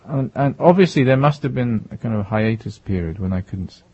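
Husky-toned soft-spoken author, dry lo-fi. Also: male voice, medium pitch, expressive, fairly quick, dry recording. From Japan, raised in England. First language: Japanese. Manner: thoughtful, many restarts and self-corrections. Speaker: author guest. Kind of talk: reflective interview answers. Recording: dull lo-fi recording.

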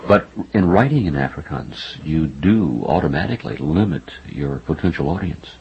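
A man interviewing an author, asking his questions in a halting way with repeated words and many "uh"s. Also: rumbling low, expressive, breathy edge. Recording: dull lo-fi sound with faint noise.

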